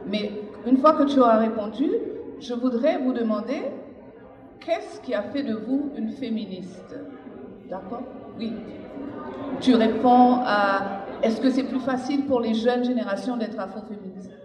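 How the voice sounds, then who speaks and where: A woman hosts a live panel informally, directing speakers and audience. Her voice is somewhat high. It rings through an echoey hall with crowd sound behind her. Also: measured pace. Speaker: panel moderator.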